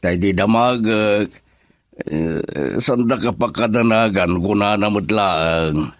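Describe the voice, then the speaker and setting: An older uncle in a radio drama. He speaks very slowly in a low voice, recorded dry. His manner is plain and questioning. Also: no room sound. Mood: puzzled, concerned. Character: older man addressed as uncle, plain-spoken. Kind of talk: Ilocano radio drama acting.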